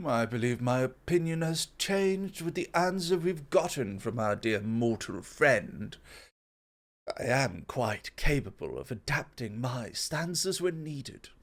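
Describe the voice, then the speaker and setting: A man playing a composed, intellectual god of foresight in an ASMR roleplay, formal, measured and gently superior. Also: medium pitch, lively intonation. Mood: calm, assured.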